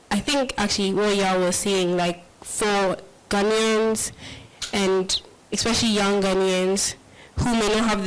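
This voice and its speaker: A woman speaking on a panel in a measured way, often hedging her points. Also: mid-range voice, low-quality recording. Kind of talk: panel discussion.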